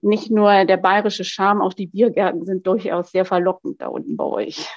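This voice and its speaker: A woman's voice: medium pitch, level tone, moderate pace. A woman hosting a podcast interview who talks in a loose, chatty way and often corrects herself mid-sentence. The sound is dull and dry, with no room echo. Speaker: podcast host and supervisor.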